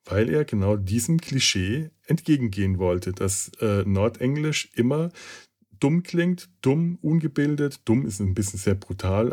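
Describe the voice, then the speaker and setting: A man's voice: medium pitch, a little mellow. German man rambling through a critique. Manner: halting, many restarts and fillers. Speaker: podcast host. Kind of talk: casual spoken review.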